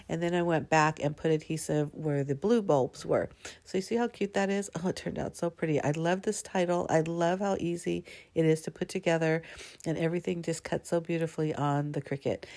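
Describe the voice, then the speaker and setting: Stuffy-nosed older woman, gravelly and chatty. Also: lowish voice, a little mellow, no echo. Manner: chatty and gushing, with frequent fillers. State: stuffy nose from a cold. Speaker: scrapbooking crafter. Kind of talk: voice-over narrating a crafting process video.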